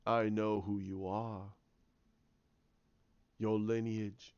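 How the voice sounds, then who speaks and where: Man putting on a low, slow, sinister old emperor. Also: flat delivery, darkish tone, clean tone, muffled recording. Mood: gloating, cruel. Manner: slow, menacing, drawn-out pauses. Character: sinister old male Sith emperor, gloating and menacing.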